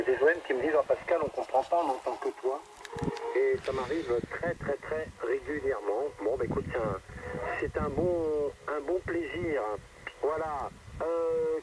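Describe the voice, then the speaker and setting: A man, an amateur radio operator, chatting casually through a radio transmission. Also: mid-range voice. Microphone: radio microphone heard through a receiver. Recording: very noisy, band-limited, distant radio link.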